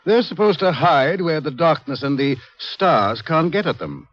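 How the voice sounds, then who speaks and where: A man's voice: fairly high pitch, sing-song, a little slow. An actor playing a scrawny, eccentric male scientist who lectures wryly and theatrically in a 1950s radio drama. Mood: wry, explanatory. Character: scrawny, wry male scientist, eccentric professor foretelling doom. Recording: dull vintage 1950s radio recording.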